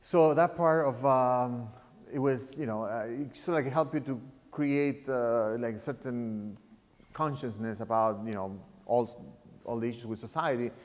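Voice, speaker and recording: A man in his fifties from Chile, talking reflectively about his work as an artist, halting and often restarting his phrases as he searches for words. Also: medium pitch, darkish tone. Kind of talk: reflective interview talk. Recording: reverberant room sound.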